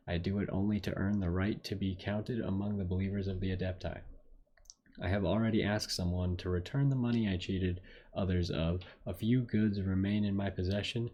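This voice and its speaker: A man with a low voice gives casual commentary as he plays a video game. He reads on-screen text aloud, tripping over words and tossing in muttered asides. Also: darkish tone. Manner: mutters asides, stumbles while reading aloud.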